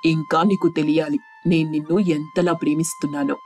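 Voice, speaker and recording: A man voicing a devoted, agreeable young boyfriend in an earnest, measured way for a cartoon story. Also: a little high, flat delivery, a little mellow, soft background music, lightly acted. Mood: affectionate.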